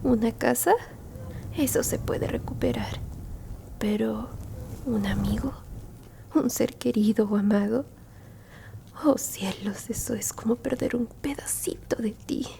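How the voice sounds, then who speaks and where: A woman voicing a gentle, bookish, elderly alien grandmother in a whispered ASMR roleplay, soothing and tender, with a breathy, creaky voice. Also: a little high, a little hiss or hum. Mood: gentle, wistful. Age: older. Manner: slow, soothing whispering with soft murmured hums and pauses. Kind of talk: Spanish-language ASMR roleplay, mostly whispered.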